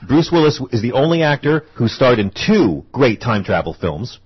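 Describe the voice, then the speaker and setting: A man filling in as host on a talk radio show, cracking jokes in fast banter with guests and callers. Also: medium pitch, sing-song, slightly rough. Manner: joking, quick banter. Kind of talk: casual comedic radio chat. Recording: lo-fi radio broadcast.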